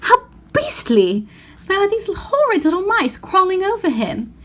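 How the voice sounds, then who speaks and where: A woman's voice: very high voice, big pitch swings, a little slow, a bit bright, voice pushed up, heavily acted. A woman voicing a squeamish, proper schoolgirl in a storybook, prim and given to exclaiming. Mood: disgusted. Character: squeamish, proper older schoolgirl in a fairy tale.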